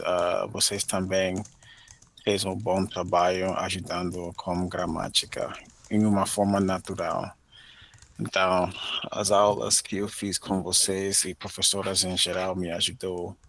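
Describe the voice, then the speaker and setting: An American man from Maryland, a native English speaker, answering interview questions in a careful, measured way with frequent pauses as he searches for words. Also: sits low, a bit bright. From United States (Maryland). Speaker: interview guest, Portuguese learner. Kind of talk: podcast interview.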